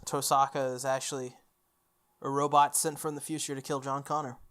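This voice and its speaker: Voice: mid-range voice, flat delivery, a little fast, a bit bright. A let's play host reading a visual novel aloud, breaking off into casual, jokey asides. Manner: jokey, self-interrupting asides.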